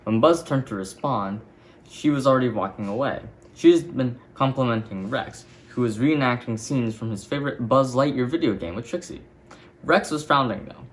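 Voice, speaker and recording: A man's voice: medium pitch, normal speed. A man reading a children's story as a scripted narration. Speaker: storybook reader.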